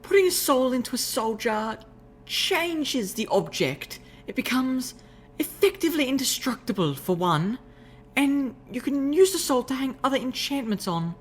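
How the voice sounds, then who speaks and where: A woman's voice: sits high, very animated, moderate pace, slight background noise, pitched above the performer's own voice. A woman voicing a graceful, refined unicorn mare who speaks in a prim, ladylike way. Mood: confiding. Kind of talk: audiobook reading of fan fiction.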